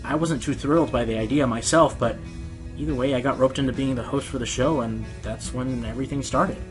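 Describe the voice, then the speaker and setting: A man's voice: mid-range voice, fairly even intonation, brisk, close to an everyday voice. Over background music comes a man acting a male pony radio host in an audio drama. His mid-range voice is earnest and self-deprecating, with a defensive, passionate temper. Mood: reflective. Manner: earnest, hesitant, turning indignant. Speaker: radio show host being interviewed. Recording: music behind the voice.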